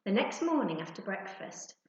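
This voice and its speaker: A woman's voice: medium pitch, sing-song, normal speed, dull audio. A woman narrating a children's storybook expressively, like a teacher reading to her class. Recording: echoey, roomy sound.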